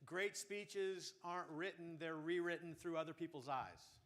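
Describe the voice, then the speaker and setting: A man coaching speakers in front of a conference audience, his voice bright and a little high. He gives feedback in a lively, animated way. A faint murmur of people sits behind him. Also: moderate pace. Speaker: speech coach. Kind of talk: workshop feedback.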